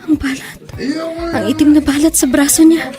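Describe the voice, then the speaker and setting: A woman's voice: sits high, fairly even intonation, moderate pace, lightly acted. A woman playing a wounded, guarded young woman who harbors anger, acted in a melodramatic, hesitant radio drama manner. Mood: shocked realization. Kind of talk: Filipino radio drama acting.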